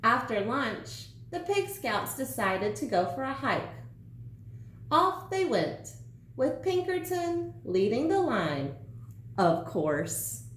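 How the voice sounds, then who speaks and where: A woman teacher reading a children's story aloud with deliberate, emphatic phrasing. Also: on the high side, very animated. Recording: roomy echo, some background noise.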